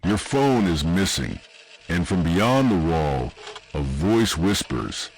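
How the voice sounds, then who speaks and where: A man reading scripted horror narration in an ominous, deliberate way, with short dramatic phrases and pauses. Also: low-pitched, expressive, a little hiss or hum. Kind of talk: scripted second-person analog horror narration.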